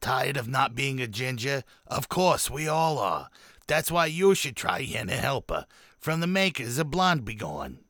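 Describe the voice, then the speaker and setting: A man's voice: fairly high pitch, fairly bright tone, no room sound, studio quality. A man playing a peppy salesman in a parody radio commercial. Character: peppy male hair dye ad salesman.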